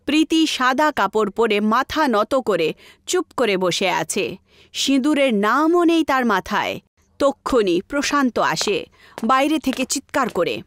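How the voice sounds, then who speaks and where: A woman's voice: a little high, sing-song, a little slow. A woman narrating a horror story in a dramatic storytelling style.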